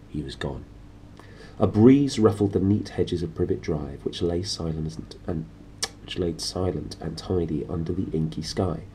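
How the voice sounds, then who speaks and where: Deep-voiced man reading a story, muted tone. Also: mellow, a little hiss or hum, low-quality recording. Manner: informal, playful, addressing listeners as a team. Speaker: reader, host of a read-aloud series. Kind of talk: lively storybook narration with informal asides.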